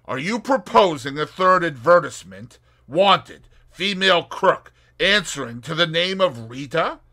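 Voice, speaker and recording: A man reading as a cheerful young man who teases with mock pomposity. His voice rises and falls in lively swoops. It sounds bright and forward, as in an audiobook. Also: fairly high pitch, unhurried, voice pushed up, full character voice. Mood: teasing. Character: cheerful teasing young man, dry wit.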